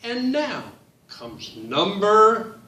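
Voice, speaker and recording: Slow sing-song man reading to kids. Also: sits high, big pitch swings, pushed and bright, echoey room, far from the mic. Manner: slow, sing-song reading with drawn-out words, addresses children. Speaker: children's book author reading aloud. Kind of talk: read-aloud storytime for children.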